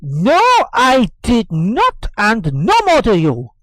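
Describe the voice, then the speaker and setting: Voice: extremely high pitch, very animated, slow, no room sound, pitched above the performer's own voice, heavily acted. A reader voicing a fussy, superstitious old nursemaid who scolds and calls on the saints. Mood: alarmed. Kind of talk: audiobook character voice.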